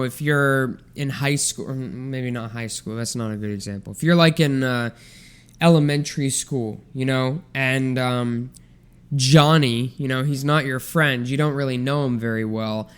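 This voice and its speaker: A man's voice: mid-range voice, clean tone. A young man hosting a solo science podcast, chatting casually with a congested, nasal voice and lots of ums and restarts. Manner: rambling, filler-heavy, relaxed. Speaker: podcast host.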